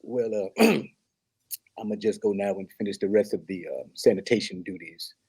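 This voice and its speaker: A man's voice: lowish voice, moderate pace, a little mellow, husky, low-quality recording. An actor playing a smitten, earnest young janitor in a comedy table read, hesitant and fumbling over his words. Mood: nervous, eager. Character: smitten, nervous, earnest young man mopping floors.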